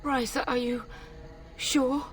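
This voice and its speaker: A woman's voice: high voice, steady tone, drawn-out words, distant mic, faint music behind. An actress playing a proud, devoted middle-aged noblewoman in a fantasy game, firm, earnest and dignified. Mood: fierce resolve. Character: proud noblewoman mother, fierce, devoted to husband.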